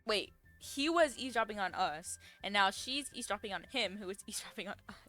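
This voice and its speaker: Bright-voiced young woman streaming a game. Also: medium pitch, fairly quick, soft background music. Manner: slangy, expressive. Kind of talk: casual reaction commentary while gaming.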